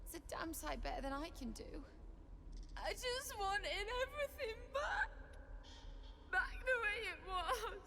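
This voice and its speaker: A woman playing a grieving, self-blaming young widow in a fantasy video game, halting and anguished. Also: very high-pitched, animated, bright, forceful tone, exaggerated voice. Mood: tearful, anguished. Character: grieving young widowed mother-to-be, desperate and bitter. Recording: reverberant game audio with faint ambient noise.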